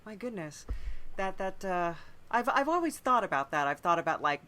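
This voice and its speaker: A woman's voice: mid-range voice, big pitch swings, moderate pace, sharp tone. A woman hosting a podcast, chatting casually and attentively in a musing way. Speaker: podcast host. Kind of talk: casual interview chat.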